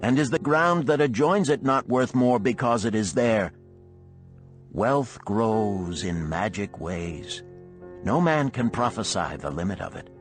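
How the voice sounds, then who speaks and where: A man performs a wise, rich Babylonian elder from a storybook parable. His voice sits fairly low and swings expressively as he instructs with formal, self-assured rhetoric. Music plays underneath. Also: animated, a little slow. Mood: instructive, stirring. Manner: rhetorical, formal, emphatic oratory. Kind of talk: dramatised storybook parable reading.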